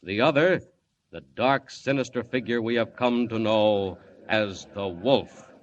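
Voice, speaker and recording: A man narrating a scripted radio serial in a dramatic, measured 1940s announcer style. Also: lowish voice, slight background noise. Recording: dull old radio recording.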